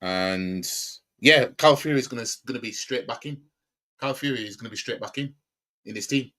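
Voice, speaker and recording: A man's voice: medium pitch, brisk, sharp tone. A man with a Yorkshire accent giving a casual, opinionated football match preview on his stream, blunt and slangy. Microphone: streaming desk mic.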